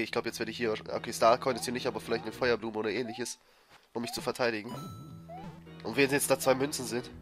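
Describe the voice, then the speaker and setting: A man with a cold giving excitable, frustrated commentary over a platform game he is playing. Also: mid-range voice. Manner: frustrated, excitable, swears and laughs. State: has a cold, coughing. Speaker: let's player. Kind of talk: gameplay commentary. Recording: faint game music behind. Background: game music.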